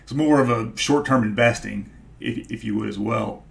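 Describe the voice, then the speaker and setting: A man in his forties with a stutter, talking casually to camera in a halting way, searching for his words. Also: mid-range voice, gravelly. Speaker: YouTube host, stock investor. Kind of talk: casual vlog talk to camera. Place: small home office, indoors.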